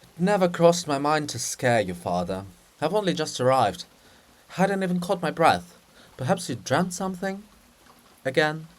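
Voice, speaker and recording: A man's voice: fairly high pitch, sing-song, moderate pace. A man voicing a scheming, fussing male statue of a statesman in a play reading, with a wheedling, explanatory way of talking. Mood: muttering, placating.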